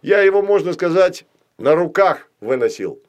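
A man's voice: high-pitched, lively intonation, moderate pace, pitched above the performer's own voice. A man voicing a small, boastful, touchy male doll in a children's story, brash and swaggering.

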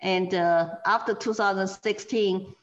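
A woman from China with a Chinese accent, speaking as an interview guest, earnest and animated, with many fillers and restarts. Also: mid-range voice, measured pace, lo-fi sound. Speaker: guest, former political candidate. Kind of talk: interview over a call.

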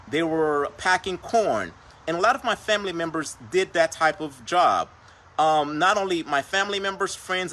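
A man's voice: fairly high pitch, sharp tone, slight background noise. A Haitian-born man whose first language is Creole, hosting a motivational talk on his livestream show. He speaks earnestly and keeps coming back to phrases like "right?" and "per se.". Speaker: podcast host.

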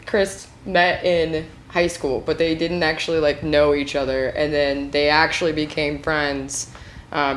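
A woman's voice: sits low, a little hiss or hum. A woman from Washington state co-hosting a podcast, telling stories in chatty casual talk full of "like" fillers. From Washington state, USA. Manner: chatty, filler-heavy storytelling. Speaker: podcast co-host. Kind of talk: casual chat.

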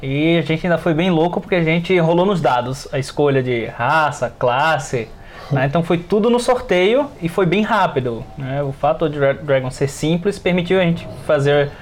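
A man's voice: fairly high pitch, animated, moderate pace, slight background noise. A man with a Brazilian accent chatting casually as a player on a tabletop gaming podcast. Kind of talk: casual chat about tabletop games.

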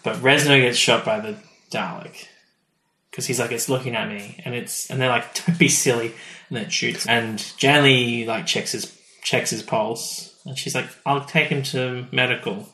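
A man's voice: mid-range voice, steady tone, normal speed, sharp tone, echoey room, poor audio. A man co-hosting a podcast, casually recapping a story and acting out people's reactions as he retells it. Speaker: podcast co-host. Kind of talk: casual episode recap chat.

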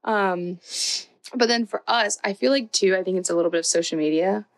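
A woman's voice: mid-range voice, fairly quick, a little hiss or hum, rough recording. A woman in her twenties co-hosting a podcast, chatting casually with lots of "like" and acted-out quotes. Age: 20s. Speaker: podcast co-host. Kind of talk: casual chat.

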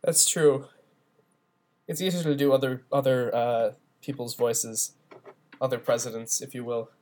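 A man's voice: mid-range voice, steady tone, unhurried, darkish tone. A young man with a stuffy nose and a sore throat, co-hosting a casual podcast by phone with playful, teasing banter. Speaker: podcast co-host. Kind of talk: casual chat.

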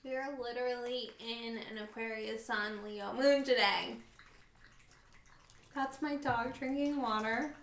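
A woman's voice: on the high side, steady tone, slow, a bit bright. A young woman hosting a casual podcast chat at home, laid-back and giggly. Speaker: podcast host. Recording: echoey room, off-mic.

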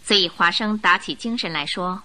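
A woman's voice: lowish voice, moderate pace, pushed and bright. A woman reading dramatic scripted narration for a radio drama.

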